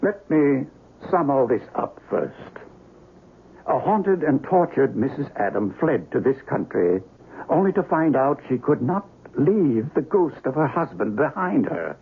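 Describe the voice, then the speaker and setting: An actor playing a grave, learned investigator of the supernatural in a radio mystery, speaking formally and deliberately. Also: male voice, medium pitch, big pitch swings, breathy edge, heavily acted. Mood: solemn, assured. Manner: precise, formal, deliberate, theatrical. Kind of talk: radio mystery drama acting. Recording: noisy dull radio broadcast recording.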